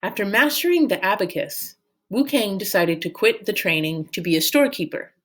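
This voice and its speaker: A woman narrating a storybook as an expressive read-aloud. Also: medium pitch, normal speed. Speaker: storyteller reading a book aloud.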